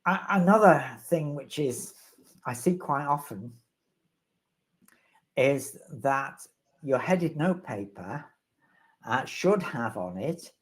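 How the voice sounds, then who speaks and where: A man's voice: fairly high pitch, expressive, takes it slowly, pauses often, low-quality recording. A man answering questions as the resident expert in a live webinar, rambling and wry, with self-deprecating humor.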